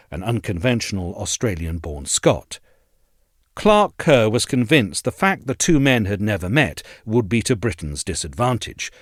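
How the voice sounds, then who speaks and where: A male narrator reads formal prose in a low voice, with wide, expressive swings of pitch. His delivery is measured and articulate, and the recording is dry and close. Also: no room sound. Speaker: narrator reading an essay aloud. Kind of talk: scripted narration of a history essay.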